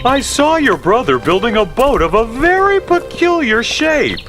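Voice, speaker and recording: A woman's voice: a little high, big pitch swings, unhurried. A woman voicing a courteous, easily impressed king in a theatrical, stately storybook manner. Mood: pleased curiosity. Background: faint music.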